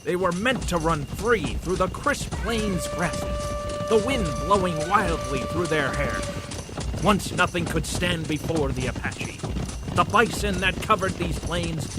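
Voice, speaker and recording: A man's voice: high-pitched, expressive, slow. A man playing a grand, florid comic-book narrator, with theatrical and emphatic scripted delivery. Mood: brooding. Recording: music bed under the voice.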